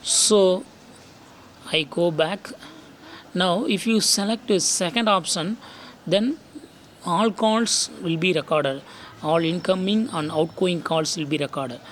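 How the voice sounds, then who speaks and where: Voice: mid-range voice, takes it slowly, long pauses, slight background noise. A tech tutorial host narrating phone steps one by one, often repeating instructions, recorded outdoors.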